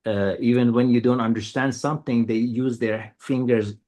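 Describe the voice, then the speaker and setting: A man from Iran whose first language is Persian, speaking as a guest in a reflective conversation and often pausing and restarting as he searches for words. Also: mid-range voice, fairly even intonation, dark tone.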